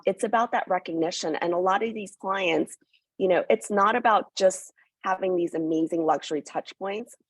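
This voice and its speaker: An eager woman from New York talks up a hotel in an interview, full of fillers. Her voice sits low and sounds husky. The recording is dry and close. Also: no echo. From born in Hong Kong, raised in New York. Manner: enthusiastic, many fillers. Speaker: hotel representative. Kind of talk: promotional interview.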